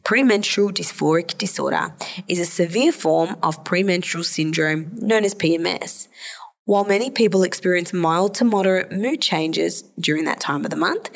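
A woman podcast host and psychologist explaining a topic in a solo episode, her voice a bit hoarse and nasal from a sore throat. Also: on the low side.

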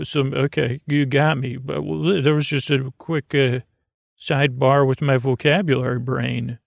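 A man with a creaky voice hosting a bedtime podcast, meandering hesitantly through a soothing, goofy monologue that keeps interrupting itself. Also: medium pitch, no echo, clean studio sound. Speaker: podcast host.

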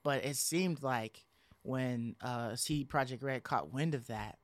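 A man's voice: medium pitch, unhurried, dry recording. A man co-hosting a gaming podcast, chatting casually with slang and swearing. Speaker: podcast co-host.

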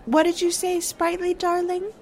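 A woman's voice: very high-pitched, level tone, normal speed, some chatter behind. A woman acting an affected, insinuating small-town society gossip in a 1940s-style radio drama. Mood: gushing.

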